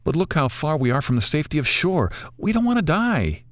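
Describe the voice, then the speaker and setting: A man's voice: fairly high pitch, big pitch swings, fairly quick, no room sound, studio quality, pitched above the performer's own voice. A man voicing a worried young woman who pleads anxiously.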